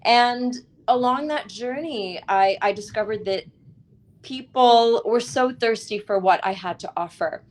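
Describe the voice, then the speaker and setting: A woman author speaking as an interview guest, telling her story in an expressive, articulate and reflective way. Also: on the high side, measured pace.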